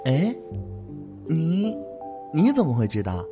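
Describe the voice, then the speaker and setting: A man's voice: a little high, wildly swooping pitch, slow pace, over music, full character voice. An actor playing a cheerful, good-hearted young man who chats eagerly and laughs easily in an audio drama. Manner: bright, chatty, laughs easily.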